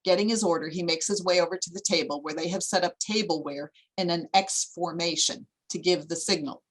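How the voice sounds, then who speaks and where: A woman's voice: fairly low pitch, brisk, husky, low-quality recording. A woman reading screenplay stage directions as the narrator of a table read.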